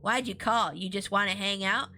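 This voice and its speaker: A woman's voice: mid-range voice, fairly even intonation, normal speed, bright, forceful tone, faint music behind. A woman voicing a serious, thoughtful boy from a storybook, laconic and unimpressed. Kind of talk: storybook character voice.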